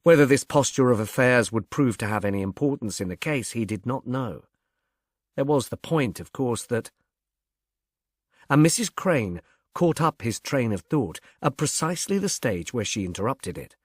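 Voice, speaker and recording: A man's voice: mid-range voice, animated, normal speed, dry recording, clean studio sound. A man reading a detective novel aloud as a scripted audiobook narrator.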